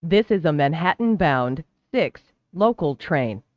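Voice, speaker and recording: A train announcer delivering scripted transit announcements in a formal, measured, clearly enunciated way. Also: mid-range voice, lively intonation, no echo, highs cut off. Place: recorded train public-address announcement.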